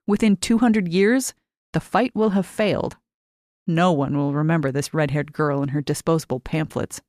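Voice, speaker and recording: A woman reading a short story aloud as expressive, scripted narration with measured phrasing and deliberate pauses. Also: deep voice, no echo, studio quality. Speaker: short fiction reader.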